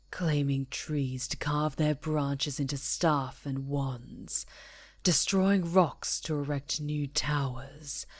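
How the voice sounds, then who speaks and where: A woman playing a proud, solemn female werewolf chieftain in an ASMR roleplay, speaking gravely and formally in a husky, breathy voice. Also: sits low, a little mellow, no room sound, studio quality. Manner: slow, grave, formal pronouncements.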